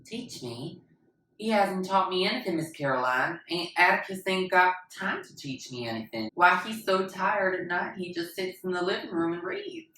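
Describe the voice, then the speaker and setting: A woman's voice: fairly low pitch, moderate pace, bright, forceful tone, voice pushed up. A woman voicing an earnest, outspoken little schoolgirl in a storybook reading, protesting in a plain-spoken way. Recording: echoey, distant mic, faint noise.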